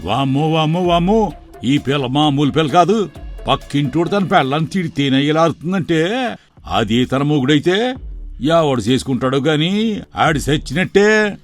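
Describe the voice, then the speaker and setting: A man's voice: on the high side, lively intonation, normal speed, pauses often, soft background music, close to an everyday voice. A man playing a scheming middle-aged village uncle in a dramatised moral story, sly and falsely affectionate, with folksy rustic speech. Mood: sly muttering.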